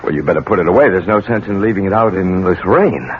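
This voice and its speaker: Middle-aged academic dad, tired and testy, low voice, dull old radio sound. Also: male voice, expressive. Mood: guarded, probing. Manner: halting, guarded, repeats himself. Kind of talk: radio drama acting. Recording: dull, hissy vintage radio recording.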